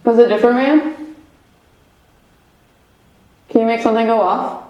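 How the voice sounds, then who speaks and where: A woman's voice: fairly high pitch, fairly even intonation, normal speed, dull audio. Chatty young woman in an echoey basement. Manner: chatty, rambling, giggly, many fillers. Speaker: medium, paranormal investigator. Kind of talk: casual chat on a ghost hunt. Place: old basement room with echo.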